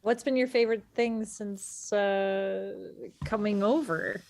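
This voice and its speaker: A woman's voice: medium pitch, fairly even intonation, takes it slowly, a little mellow, slight background noise. A woman hosting a show, chatting casually with an upbeat, laugh-prone manner. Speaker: host. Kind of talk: casual chat.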